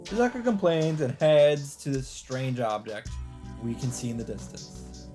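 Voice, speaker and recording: Guy reading visual novel narration out loud, mid-pitched voice, some game music behind him. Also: sing-song, measured pace. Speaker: let's player reading a visual novel aloud. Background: game background music.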